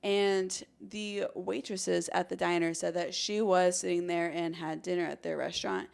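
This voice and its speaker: A woman's voice: fairly low pitch, fairly even intonation, normal speed, vocal fry, darkish tone. A young American woman hosting a podcast, telling a true crime story in an informal, conversational way. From Arizona, United States. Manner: informal, chatty. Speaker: podcast host.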